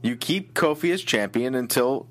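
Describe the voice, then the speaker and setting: A man's voice: medium pitch, animated, normal speed. A man co-hosting a casual wrestling show, ranting about the way matches are booked with exasperated opinions. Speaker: wrestling recap co-host.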